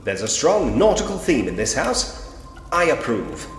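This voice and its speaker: A man's voice: fairly high pitch, big pitch swings, a little slow, a little hiss or hum. A man voicing a polite, drily whimsical Victorian police inspector who muses to himself in an adventure game. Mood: drily amused. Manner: musing to himself, genteel, drily humorous. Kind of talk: point-and-click adventure game voice acting. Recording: reverberant room with long echo. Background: game music.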